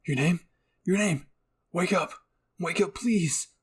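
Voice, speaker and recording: A man's voice: high-pitched, takes it slowly, voice pushed up. A man acting a young soldier, desperate and vengeful, in an emotional, dramatic fan fiction roleplay. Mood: frantic pleading.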